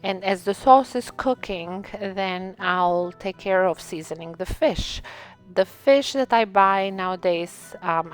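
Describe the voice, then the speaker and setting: A woman's voice: mid-range voice, clear, resonant tone. A woman from Albania, a native Albanian speaker, hosting a cooking video and chatting through the steps with frequent ums and likes. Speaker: cooking channel host. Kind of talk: casual cooking tutorial voice-over.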